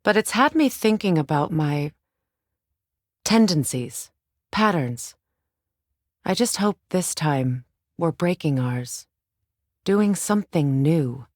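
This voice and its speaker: A woman playing a grave, guarded Norse warrior sorceress in a video game, commanding, with measured and formal phrasing. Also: low voice, animated, dry recording, clean studio sound, exaggerated voice. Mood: reflective, candid. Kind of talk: dramatic game character dialogue.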